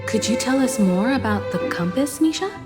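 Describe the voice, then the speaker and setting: A woman playing a polite, measured young female travelling companion in a video game. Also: on the high side, expressive, natural voice. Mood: gentle, interested. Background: background music.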